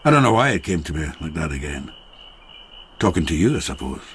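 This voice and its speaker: An actor playing a widowed peasant farmer, boisterous and fond of drink, who rambles jovially and turns reflective in a radio drama. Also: male voice, very deep voice, big pitch swings, brisk, slight background noise. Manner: jovial, rambling, slightly tipsy. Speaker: Takeshi, a farmer friend.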